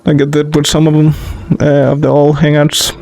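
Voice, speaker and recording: A man's voice: on the high side, monotone, normal speed, vocal fry, a little mellow, low-quality recording. A man hosting a gaming video with casual, off-the-cuff let's play commentary.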